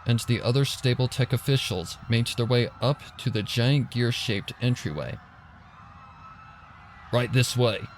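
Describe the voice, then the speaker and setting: A man's voice: mid-range voice, slight background noise. A man narrating a story in a dramatic, suspenseful, theatrical scripted style. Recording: loud ambient sound effects over the voice.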